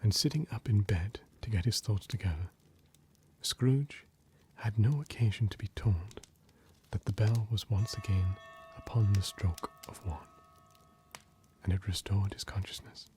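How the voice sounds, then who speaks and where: A man narrating a classic story in ASMR style, mostly in a soft, measured whisper, with noise in the background. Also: lowish voice.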